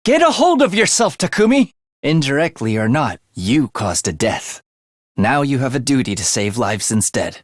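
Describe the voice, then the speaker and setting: Eerie, bloodthirsty young student, dry recording. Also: male voice, medium pitch, big pitch swings, normal speed, no room sound. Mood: sly, determined. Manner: sinister, theatrical, savoring morbid details. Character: eerie, bloodthirsty young male academy student, morbidly gleeful. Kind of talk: video game character voice acting.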